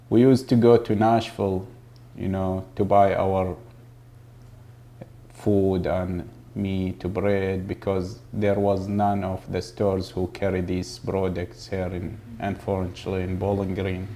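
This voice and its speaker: Man, low gravelly voice, flat delivery, halting speech. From Iraq. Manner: halting, searching for words. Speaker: grocery store owner. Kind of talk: documentary interview.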